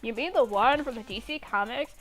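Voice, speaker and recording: Woman reading a high-voiced, loyal magical schoolgirl. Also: fairly quick, faint music behind, lightly acted. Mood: chatty. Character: loyal, protective schoolgirl with glowing magic powers. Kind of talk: amateur story character reading.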